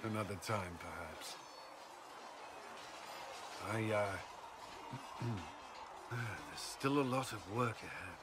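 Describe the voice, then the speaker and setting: A man playing an earnest, reserved ex-Templar military commander in a video game, speaking in a formal, serious, measured way. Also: fairly low pitch. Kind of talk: video game cutscene dialogue. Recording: clearly noisy game audio with ambience.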